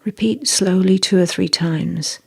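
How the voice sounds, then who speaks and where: A meditation guide reading a scripted guided meditation in a soft, breathy, measured and deliberate way. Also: medium pitch.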